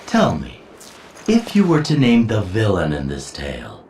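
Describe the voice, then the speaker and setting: An actor playing a smooth, eloquent schemer with an insinuating, theatrical manner in a video game cutscene. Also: male voice, fairly low pitch, wildly swooping pitch, measured pace, darkish tone, a little hiss or hum, exaggerated voice. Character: smooth, theatrical, scheming male manipulator counseling heroes. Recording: roomy echo, distant, music behind.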